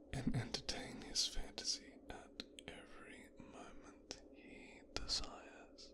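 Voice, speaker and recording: A man playing a possessive, smooth-talking son of a mafia boss in an intimate, hushed ASMR audio roleplay. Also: medium pitch. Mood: coolly appraising. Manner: slow, hushed, half-whispered delivery with deliberate pauses.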